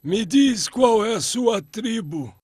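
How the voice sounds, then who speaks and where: A man playing a kindly, protective old father in a cartoon religious story, speaking in a measured way. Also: sits high, expressive, darkish tone, husky, dry recording, exaggerated voice. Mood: curious, probing. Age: older.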